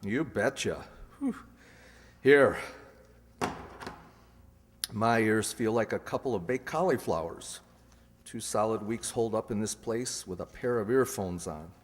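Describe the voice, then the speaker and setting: A man's voice: on the low side, lively intonation, unhurried, pauses often, slight background noise. A man acting in an old-time radio police drama as a weary, sour detective grumbling on stakeout. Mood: grumbling, fed up. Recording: long reverb, hall-like room.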